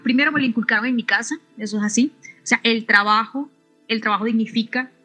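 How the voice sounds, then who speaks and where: Middle-aged woman from Venezuela, bright and fairly high voice, halting speech with restarts, faint music behind. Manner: halting, many restarts and tag questions. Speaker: physicist and researcher, interview guest. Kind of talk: casual interview answers.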